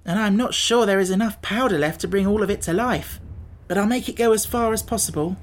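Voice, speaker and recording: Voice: mid-range voice, brisk, slight background noise, voice pushed up, close to an everyday voice. A storybook reader voicing an earnest young boy with a nervous, urgent manner. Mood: anxious.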